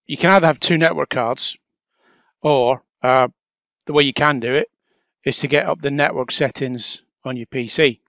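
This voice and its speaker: A man narrating a software tutorial step by step in an informal, chatty, explanatory way. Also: mid-range voice, normal speed, no echo. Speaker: trainer.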